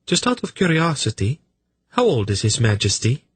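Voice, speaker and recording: A man's voice: medium pitch, wildly swooping pitch, normal speed, breathy edge, no room sound, pitched above the performer's own voice, heavily acted. A man voicing an impish, shrewd young woman of the court in a storybook, courteous and slyly teasing. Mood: sly, probing. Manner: bright, courteous, slyly probing.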